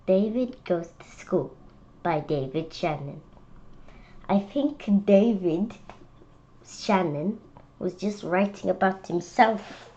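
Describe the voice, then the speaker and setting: A young, school-age female reader presenting a picture book read-aloud with chatty, expressive commentary. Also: lowish voice, slow pace, pauses often, highs cut off. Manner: expressive, calls out quoted lines loudly.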